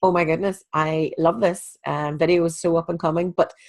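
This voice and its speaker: A woman with a fairly low voice hosts an interview over a video call. She sounds stuffy and bunged up from a cold, yet stays chatty, using wee and you know as she gives advice. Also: steady tone, moderate pace. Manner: chatty, friendly advice-giving. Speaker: podcast host. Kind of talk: casual interview chat.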